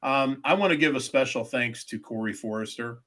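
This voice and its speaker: A man's voice: mid-range voice, brisk, fairly bright tone. A man hosting a podcast, joking and rambling, often stumbling over his words. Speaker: podcast host. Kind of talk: casual podcast banter.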